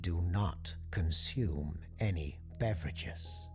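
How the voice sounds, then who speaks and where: Deep, gravelly paranormal radio host. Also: male voice, darkish tone. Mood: grave, measured. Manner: measured, formal, polite. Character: calm, courteous parapsychologist radio call-in host. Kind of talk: scripted radio show hosting, audio drama. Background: music under the voice.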